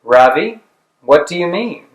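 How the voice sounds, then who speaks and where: An earnest, bold schoolboy, played by a man reading a storybook speaks in a slightly high voice. The delivery is emphatic and declarative, in a clearly roomy space. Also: animated, measured pace. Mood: nervous. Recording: roomy, echoing room sound.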